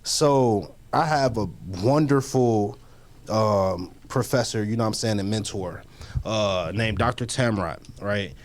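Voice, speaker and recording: A man's voice: lowish voice, measured pace. A man on a casual studio panel, speaking bluntly with heat, repeating himself and dropping into slang. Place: community media studio.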